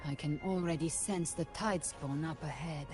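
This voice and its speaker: A woman's voice: sits low, moderate pace, music in the background, natural voice. A woman playing a guarded, commanding warrior in a video game, terse and authoritative. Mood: focused. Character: guarded, commanding female warrior with hidden powers. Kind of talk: dramatic video game voice acting.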